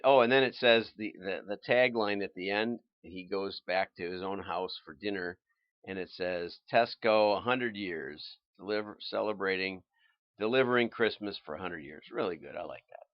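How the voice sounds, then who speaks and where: A man's voice: on the low side, moderate pace, dry recording. A man hosting a livestream, chatting casually about adverts in a rambling, folksy way. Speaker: livestream host. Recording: slightly dull audio.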